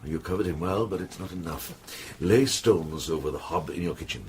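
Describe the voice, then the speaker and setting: An older actor in a British radio drama playing a wry, kindly monk healer, speaking in a measured and gently authoritative way. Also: male voice, low voice, slight background noise. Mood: grave, authoritative.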